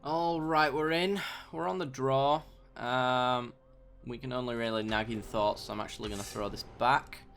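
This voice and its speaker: Croaky man commentating a card game. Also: mid-range voice, steady tone, moderate pace, fairly bright tone, faint music behind. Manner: thinks aloud, mild self-talk, bursts of mock outrage. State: slightly croaky, sore throat. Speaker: gameplay commentator. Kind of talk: casual let's-play commentary.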